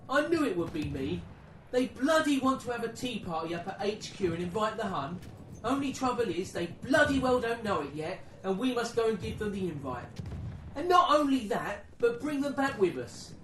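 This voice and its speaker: A man playing a grumbling, sardonic British soldier in a war radio play, excitable and sarcastic. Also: high-pitched, normal speed, far from the mic, slight background noise. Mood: sardonic, indignant. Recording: roomy, off-mic, faint background sound bed.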